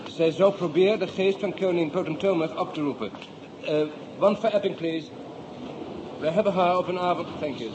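An actor playing a courteous, hesitant English police detective in a radio drama, slipping English phrases into Dutch. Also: male voice, fairly high pitch, natural voice. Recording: noisy radio play with street ambience.

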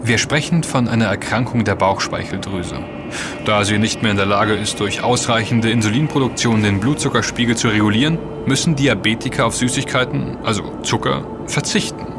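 A man's voice: lowish voice, brisk, music in the background, close to an everyday voice. An actor playing an earnest, knowledgeable man who explains and warns emphatically in a scripted audio drama. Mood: earnest warning. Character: earnest, knowledgeable man warning his friends.

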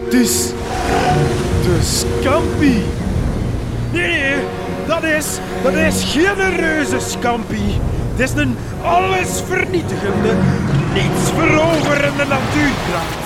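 A man plays a pompous sea captain in a Flemish radio drama. The voice is high and unhurried, with grand theatrical delivery. Loud music plays over it. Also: lively intonation, heavily acted. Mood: awestruck dread. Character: pompous old sea captain officiating a wedding, awestruck and rambling. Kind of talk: radio comedy-horror drama acting.

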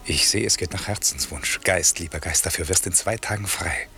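Stern, deep, commanding sorcerer father. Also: moderate pace, bright, forceful tone, a little hiss or hum. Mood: secretly pleased. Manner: imperious, theatrical, scolding. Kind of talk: classic German radio drama verse acting.

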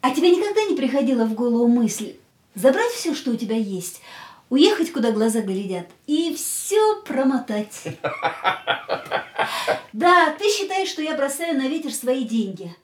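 High-voiced, intense young woman. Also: sing-song, normal speed, slight background noise. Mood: teasing, challenging. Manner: dramatic, searching, blunt. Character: young ailing woman, intense, frank, hungry for life. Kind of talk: radio play acting.

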